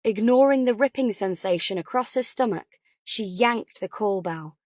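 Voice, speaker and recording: A woman's voice: mid-range voice, moderate pace, a little mellow, no room sound, studio quality. A woman reading scripted thriller narration as an audiobook narrator.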